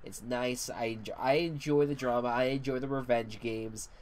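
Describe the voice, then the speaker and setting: A man's voice: mid-range voice, steady tone, normal speed, a little hiss or hum. Stuffy-nosed young man, rambling. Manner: rambling, swears casually, repeats words. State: congested, stuffy nose. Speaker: gaming commentator. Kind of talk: casual commentary while playing a football video game.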